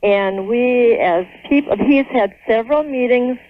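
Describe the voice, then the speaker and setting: A woman's voice: fairly high pitch, a little slow, a little hiss or hum. A woman with an American accent talking conversationally as a caller to a radio show over the telephone. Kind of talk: conversational phone call. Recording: narrow, tinny phone line.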